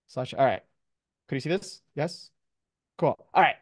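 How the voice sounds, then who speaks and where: An American man with a somewhat high voice teaches an online class as a professor. He explains things in casual, everyday language. The sound is dry, with very little room in it. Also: animated, a little slow, no echo. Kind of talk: informal online lecture. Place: video call, close dry sound.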